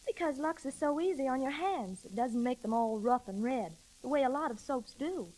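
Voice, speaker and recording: A friendly, helpful young woman in a vintage radio commercial. Her voice is a little high, with scripted girlfriend chattiness. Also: expressive, moderate pace, darkish tone, poor audio. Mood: chatty, eager. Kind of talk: 1930s radio advertisement acting.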